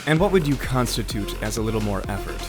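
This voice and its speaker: Actor as a guy hitting on someone at a bar, earnest, lively pitch, faint music behind. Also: fast talker. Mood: smooth, flirty. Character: handsome, persistent man flirting at a nightclub bar. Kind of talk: audio drama acting.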